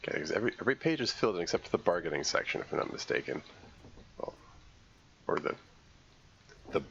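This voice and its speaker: A man streaming a game, congested from a cold, commenting live and thinking aloud in a halting way as he works through puzzles. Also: very deep voice, vocal fry, fairly bright tone, highs cut off, a little hiss or hum.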